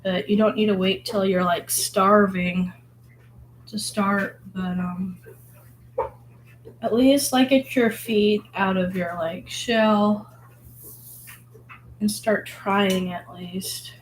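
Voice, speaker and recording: Hoarse woman musing while painting. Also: medium pitch, fairly even intonation, slow, pauses often, a little hiss or hum, low-quality recording. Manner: rambling, filler-heavy, musing. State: hoarse from illness. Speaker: vlogger painting. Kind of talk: casual reflective monologue.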